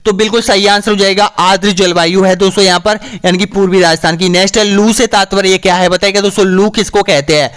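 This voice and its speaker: A slightly hoarse man teaching an exam-prep quiz lesson, asking each question and then giving the answer, with a frequent "friends, here" as a filler. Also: high voice, steady tone, fast, few pauses, a bit bright. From Rajasthan, India. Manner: repeats "dosto yahan par", asks then answers.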